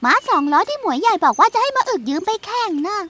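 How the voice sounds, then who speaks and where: A very high, earnest little novice boy. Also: sing-song, fast. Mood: determined. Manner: formal polite endings, indignant and earnest. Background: loud cartoon music.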